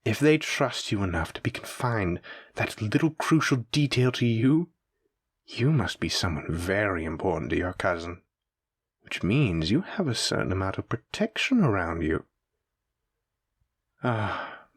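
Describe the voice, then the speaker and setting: A man's voice: on the low side, animated, unhurried, slightly rough, dry recording, studio quality. A man playing a suave, menacing mafia boss in a roleplay audio drama, with a theatrical, teasing and condescending manner. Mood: amused, teasing. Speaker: voice actor. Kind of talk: scripted roleplay audio drama addressed to listener.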